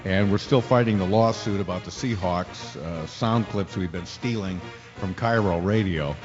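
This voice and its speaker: Low-voiced, offhand male sports radio host played by an actor. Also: moderate pace, close to an everyday voice. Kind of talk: casual live radio hosting in an audio drama. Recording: dull lo-fi with music behind.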